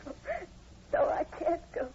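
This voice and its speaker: A woman playing a terrified young woman in an old radio drama, pleading in a halting, tearful, frantic way. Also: natural voice. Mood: panicked, pleading. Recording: noisy, dull old radio broadcast.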